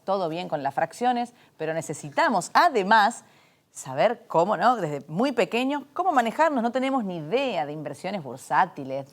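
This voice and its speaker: A woman with an Argentine Spanish accent hosting a talk, chatty and expressive, tossing in rhetorical questions. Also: mid-range voice. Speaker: host. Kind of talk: conversational talk show.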